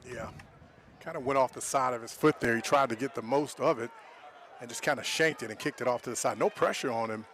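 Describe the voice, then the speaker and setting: A man's voice: medium pitch, a little fast, a bit bright, slight background noise. An American man giving informal, conversational football analysis as a color commentator at an outdoor stadium.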